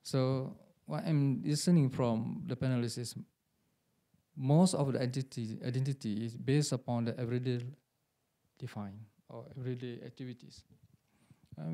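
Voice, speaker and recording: Man, gravelly, dark voice, hesitant and halting, lots of uhs, academic panelist. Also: medium pitch, steady tone. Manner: hesitant, with many "uh"s and repeated words, in halting English. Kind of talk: formal panel remarks.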